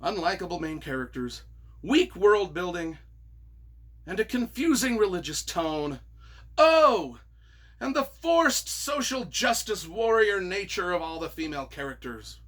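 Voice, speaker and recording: A man's voice: high-pitched, very animated, measured pace, fairly bright tone. A man reading reviews aloud and reacting off the cuff as their author, with a theatrical, emphatic, mock-exasperated delivery.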